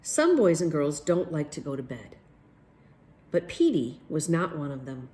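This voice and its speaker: A woman's voice: deep voice, sing-song, unhurried, mellow. A woman reading a children's story aloud as a storyteller, expressively and with acted character lines. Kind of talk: children's picture book read aloud.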